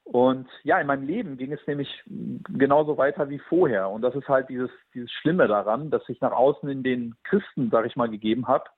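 A man in his twenties shares his personal testimony in an earnest, thoughtful way, pausing with small fillers as he searches for words. His voice is a little husky, and the close, dry sound has a tinny, phone-like narrowness. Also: medium pitch, dry recording. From Germany. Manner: earnest and reflective, with frequent hesitations.

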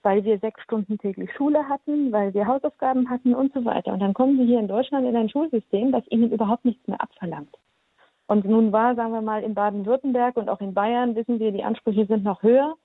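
Woman from Romania, radio guest, husky, dry, articulate with ums, tinny phone line. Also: fairly high pitch, fast talker, dry recording. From Transylvania, Romania. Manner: articulate, argumentative, many filler ums. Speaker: studio guest. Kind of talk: talk radio discussion.

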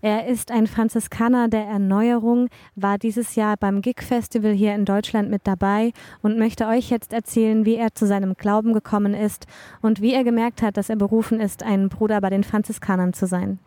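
A woman's voice: mid-range voice, little pitch movement, moderate pace, mellow, dry recording. A woman presenting a radio programme, introducing segments to listeners.

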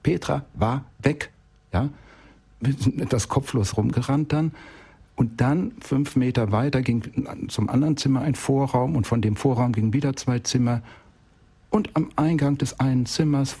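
A man's voice: mid-range voice, normal speed, dark tone, husky. A man telling an anecdote as an expert guest in a radio interview, acting out bits of dialogue as he goes. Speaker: expert guest, child-development specialist.

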